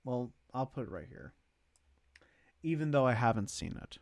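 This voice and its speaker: A man giving casual livestream commentary as host, thinking aloud in a halting way as he ranks things. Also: mid-range voice, big pitch swings, gravelly, no room sound. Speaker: streamer hosting a movie tier list.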